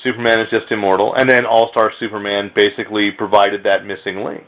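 A man hosting a casual comics chat, telling his thoughts in a halting, reflective way. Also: on the low side, flat delivery, fairly bright tone, highs cut off. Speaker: podcast host.

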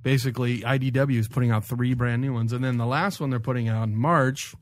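A man's voice: mid-range voice, fairly even intonation, a little fast, no room sound, clean studio sound. A man co-hosting a casual podcast chat in an informal, slangy, joking manner. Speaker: podcast co-host.

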